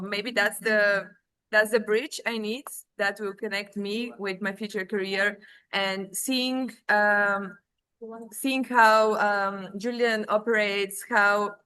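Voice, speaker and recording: A woman introduces herself informally as a programme participant. Her voice is medium in pitch, bright and very clear. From Bulgaria. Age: 20s. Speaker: academy participant giving a testimonial. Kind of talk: informal webinar testimonial.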